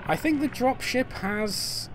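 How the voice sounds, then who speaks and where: Middle-aged man, game streamer, rambling chat to viewers, soft music under it. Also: very high voice, expressive, takes it slowly. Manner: chatty, rambling, answers chat, frequent fillers and restarts. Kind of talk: casual live-stream chat while playing.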